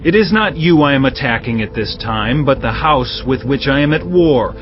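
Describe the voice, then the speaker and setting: A man's voice: medium pitch, lively intonation, normal speed, lightly acted. Man acting a stern warning king over music. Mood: stern, threatening. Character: proud, warning Egyptian king addressing an enemy. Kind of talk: dramatized audiobook acting. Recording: muffled, music behind.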